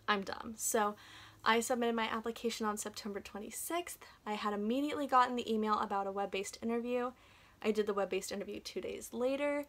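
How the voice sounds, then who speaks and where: A woman's voice: medium pitch, a bit bright. A young woman talking casually in a chatty, self-deprecating way, like a vlogger sharing advice. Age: young adult.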